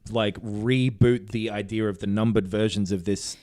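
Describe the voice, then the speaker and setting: A man's voice: on the low side, fairly even intonation, moderate pace, darkish tone, no echo, studio quality. A man hosting a video game podcast, chatting casually and colloquially, acting out little quoted asides as he goes. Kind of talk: casual chat.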